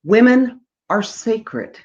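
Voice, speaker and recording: A woman's voice: fairly high pitch, animated, very slow, darkish tone, lo-fi sound, voice pushed up. A woman voicing a pushy, preachy man with a solemn, instructing manner in a storybook reading. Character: pushy, preachy man with salt and pepper braid.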